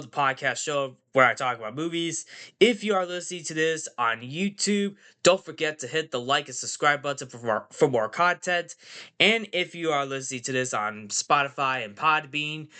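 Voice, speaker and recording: A man's voice: fairly high pitch, bright, forceful tone. A man hosting a casual movie podcast, nasal from a cold, rambling and repeating phrases and fillers. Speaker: podcast host.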